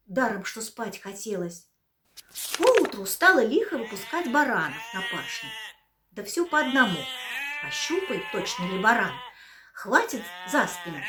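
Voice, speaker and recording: Выразительная сказочница, женщина. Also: female voice, on the high side. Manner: expressive, storyteller's cadence. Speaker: actress reading a fairy tale. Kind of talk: bedtime story narration.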